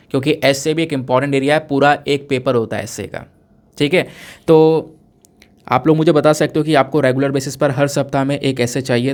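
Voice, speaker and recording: Man teaching, with a sore throat. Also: medium pitch. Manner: explanatory, poses questions and answers them, code-mixes English terms. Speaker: exam-prep teacher. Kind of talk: current affairs lecture, conversational.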